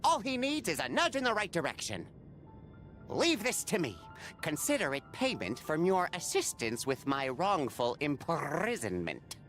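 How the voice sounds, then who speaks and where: A wise fatherly mentor in a game cutscene. His delivery is gentle and reflective with a lively, varied melody, set against clear music. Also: a little high, unhurried. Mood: reassuring. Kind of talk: video game cutscene acting.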